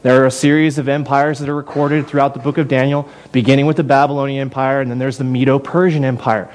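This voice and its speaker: A man's voice: medium pitch, level tone, fast, darkish tone. A man preaching a sermon in a conversational way, often repeating and restarting words. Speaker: pastor.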